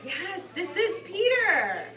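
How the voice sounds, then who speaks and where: A woman's voice: very high-pitched, huge pitch swings, slow, recorded from a distance, a crowd in the background. A woman teaching young children, reading a picture book aloud and explaining words in simple, instructive phrases with repeated prompts to the class. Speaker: preschool or kindergarten teacher. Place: indoor classroom with carpet. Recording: echoey classroom from afar, children around.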